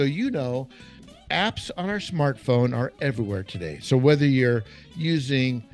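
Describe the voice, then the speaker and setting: Enthusiastic man hosting over guitar. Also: medium pitch. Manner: enthusiastic, exclamatory, salesy. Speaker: podcast host, chef. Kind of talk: upbeat podcast hosting.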